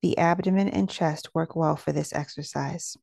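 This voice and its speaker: Woman, meditation guide, dark relaxed tone, creaky edges, soothing, measured, dry recording. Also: low-pitched, no echo. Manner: slow, soothing, measured instruction.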